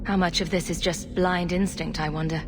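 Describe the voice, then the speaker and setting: A woman's voice: fairly low pitch, fairly even intonation, normal speed, very noisy, lightly acted. A woman playing a level-headed, compassionate young adventurer in a video game, composed and questioning. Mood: calm, probing.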